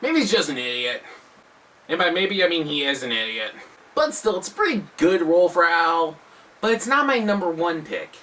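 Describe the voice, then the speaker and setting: A man hosting a video countdown, delivering scripted commentary with self-deprecating, jokey asides in an animated manner. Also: on the high side, normal speed, recorded from a distance. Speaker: host of a video countdown show.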